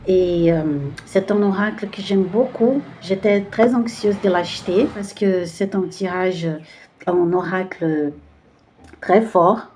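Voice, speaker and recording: Brazilian woman reading cards, mellow voice. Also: mid-range voice, fairly even intonation, takes it slowly, slight background noise. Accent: Brazilian Portuguese. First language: Portuguese. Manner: hesitant, searching for words with many fillers. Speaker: tarot card reader. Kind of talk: casual card-reading video.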